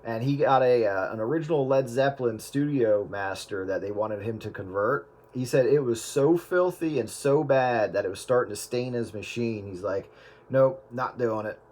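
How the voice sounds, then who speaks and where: A man chatting casually on a radio talk show, telling an anecdote in a relaxed, conversational way. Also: mid-range voice, normal speed. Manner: anecdotal storyteller.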